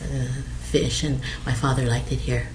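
A middle-aged woman from American Samoa, raised in Hawaii, answering interview questions hesitantly with many pauses and fillers. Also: rumbling low, little pitch movement, darkish tone, slight background noise, rough recording. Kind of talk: oral history interview.

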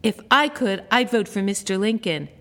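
A woman's voice: mid-range voice, lively intonation, normal speed, fairly bright tone. A woman voicing an earnest eleven-year-old girl in a storybook reading. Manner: earnest, pleading.

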